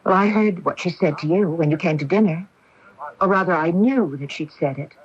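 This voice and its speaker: An actress playing an anxious, frightened woman with a split personality in a radio mystery drama, halting and confiding. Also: on the low side, slight background noise, lightly acted. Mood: uneasy. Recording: muffled old AM radio broadcast.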